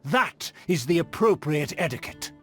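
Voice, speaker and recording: A man's voice: a little high, animated, normal speed, fairly bright tone, faint music behind. A man playing a haughty, easily offended aristocrat of a fallen clan in a fantasy game, speaking formally and theatrically with disdain. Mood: indignant, haughty. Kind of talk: English-dubbed fantasy game acting.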